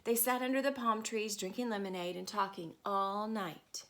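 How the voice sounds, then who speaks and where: A woman reading a picture book aloud to children, expressive and stopping to ask her listeners questions. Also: medium pitch, moderate pace, slight background noise. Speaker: storyteller.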